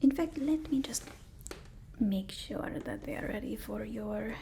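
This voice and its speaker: A woman playing a devoted, anxious assistant to a supervillain in an ASMR roleplay, whispering in a halting, soothing, deferential way. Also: on the high side, lively intonation, creaky, dark tone, slight background noise.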